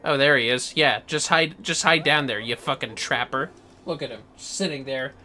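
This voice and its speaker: A man's voice: medium pitch, normal speed, bright, forceful tone, soft background music. A man giving casual, playful, sweary commentary on a gaming livestream, prone to exclamations.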